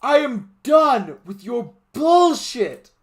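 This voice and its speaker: A man's voice: extremely high pitch, big pitch swings, takes it slowly, voice pushed up, exaggerated voice. A man voicing an unhinged young man who screeches in fury. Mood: furious.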